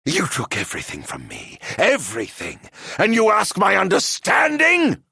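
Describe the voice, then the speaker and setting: Bitter vengeful monster-man for a video game, super raspy, pitch swinging wildly, grand old-timey dramatic talk. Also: huge pitch swings, bright, forceful tone, no room sound, clean studio sound, exaggerated voice. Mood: anguished, outraged. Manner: grandiose, archaic wording, slow dramatic delivery. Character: vengeful, tormented immortal man turned self-proclaimed monster. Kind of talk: theatrical video game voice acting.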